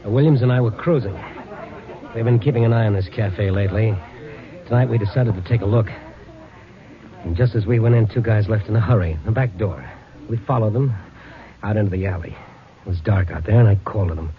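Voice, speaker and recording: An actor playing a wounded but stoic American patrolman, plain-spoken and halting, in an old radio drama. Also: on the low side, crowd noise, natural voice. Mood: pained, stoic. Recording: thin, narrow, noisy old radio recording.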